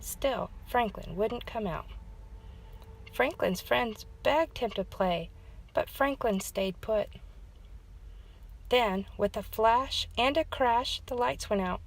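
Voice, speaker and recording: A woman's voice: a little high, takes it slowly, creaky, long pauses, poor audio. A woman hosting story time, reading a children's storybook aloud as narrator.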